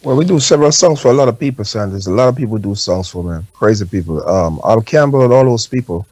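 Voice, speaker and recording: A man's voice: lowish voice, lively intonation, quick pace, darkish tone, no room sound. A man with a Jamaican accent talking casually and anecdotally as a guest in a radio discussion. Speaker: talk show guest.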